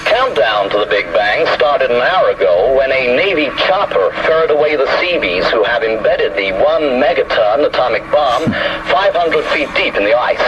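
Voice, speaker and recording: A man acting an excitable American television reporter giving live commentary in a radio drama, through a broadcast filter. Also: medium pitch, moderate pace, few pauses, dull audio, over music. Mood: grand, announcing. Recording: band-limited broadcast sound, loud chopper noise.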